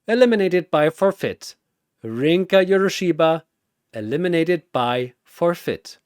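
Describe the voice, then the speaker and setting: A man's voice: medium pitch, no room sound, clean studio sound. Dramatic male narrator with sweeping intonation. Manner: theatrical, short suspenseful phrases. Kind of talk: dramatic scripted story narration.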